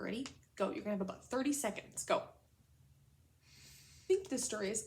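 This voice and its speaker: Female elementary teacher, slightly high voice, guiding a children's read-aloud with clear, simple prompts. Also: slight background noise. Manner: instructional, addresses children with simple questions and prompts.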